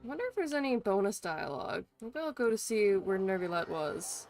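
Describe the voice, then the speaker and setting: A woman's voice: mid-range voice, big pitch swings, a little fast, darkish tone, soft background music. A woman live streaming a video game with casual, blunt, sweary, informal commentary.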